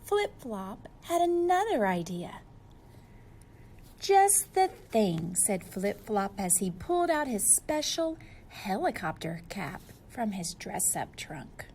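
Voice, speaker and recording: A woman's voice: medium pitch, sing-song, unhurried, hardly any pauses, a little mellow, slight background noise. A woman reading a storybook aloud to young children, expressively and speaking to them directly.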